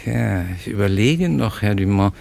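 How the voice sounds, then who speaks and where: An actor playing a cunning, smug blackmailer in a vintage German radio crime drama, with a gravelly, insistent, taunting delivery. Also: male voice, on the low side, lively intonation, normal speed, darkish tone. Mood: greedy, demanding. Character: cunning, smug male blackmailer and hotel thief. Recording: noisy old radio drama recording.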